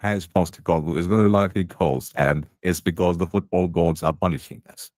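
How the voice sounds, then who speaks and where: A man's voice: sits low, fairly even intonation, brisk, a little mellow, no room sound, studio quality. A man playing a gossiping canteen tea lady, chatty and blunt with plenty of slang. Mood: grumbling.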